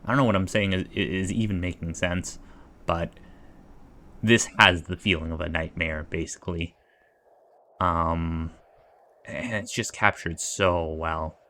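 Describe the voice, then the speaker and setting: A man's voice: sits low, steady tone, unhurried, pauses often, pushed and bright. A man giving casual reaction commentary as a livestreamer, hesitant and rambling as he thinks aloud.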